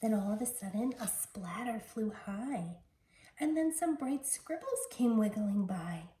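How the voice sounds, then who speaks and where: A woman, a teacher, reading a children's picture book aloud in an expressive storytelling style. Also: mid-range voice, unhurried. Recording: roomy, distant mic with background noise.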